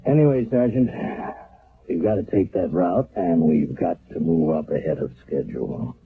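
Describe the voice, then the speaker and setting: A man's voice: sits low, big pitch swings, normal speed, breathy edge, narrow, tinny audio, heavily acted. An actor playing a hesitant army captain who fills his speech with "uh" in an old radio drama. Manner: hesitant, with many uh fillers. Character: gruff army engineer captain, brisk. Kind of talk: 1970s radio drama acting.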